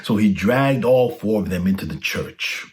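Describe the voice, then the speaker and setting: A man's voice: lowish voice, lively intonation, moderate pace. A man reading a children's storybook aloud as a scripted narration.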